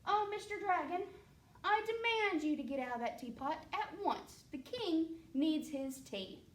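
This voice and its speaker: A woman's voice: very high-pitched, big pitch swings, a little fast, pitched above the performer's own voice. A woman voicing a firm, demanding queen, in a storybook reading. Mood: commanding. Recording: roomy distant mic, faint background noise.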